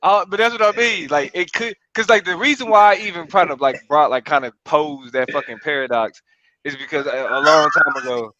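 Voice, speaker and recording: Man, bright tense voice, probing, challenging, casual slang. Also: a little high, a little fast, dull audio. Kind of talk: casual debate.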